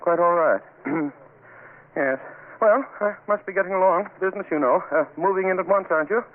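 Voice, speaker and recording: Guy acting as flustered fussy rental agent, high voice, stammery and repetitive, old radio drama, tinny with a bit of hiss. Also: lightly acted. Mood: nervous, hurried. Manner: stammering, repetitive, hurried, nervously formal. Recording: thin, phone-like old radio recording, faint noise.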